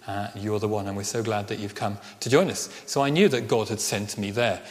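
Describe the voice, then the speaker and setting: A middle-aged man from Birmingham with a slight Birmingham accent touched by Welsh Ceredigion tones, preaching a sermon as a vicar. He tells a personal story earnestly and slows down on key phrases. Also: on the low side. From Birmingham, England. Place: church. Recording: reverberant church hall.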